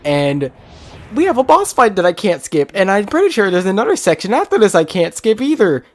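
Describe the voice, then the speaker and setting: Animated man doing let's play commentary. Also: high-pitched, fairly quick. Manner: rambling, informal. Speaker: gaming video maker. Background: game music.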